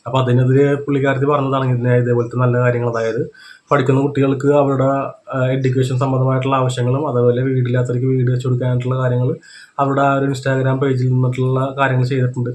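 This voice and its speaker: Fast, even-toned Kerala man commentating. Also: medium pitch. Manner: explanatory, repeats check-in tag questions. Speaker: reaction video commentator. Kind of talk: unscripted reaction commentary.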